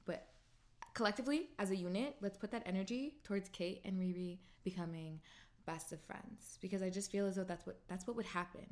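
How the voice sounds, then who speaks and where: A woman's voice: medium pitch, fairly quick. Chatty woman in her late twenties. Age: 20s. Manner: chatty and upbeat, animated when quoting herself. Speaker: podcast host. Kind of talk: casual solo Q&A podcast.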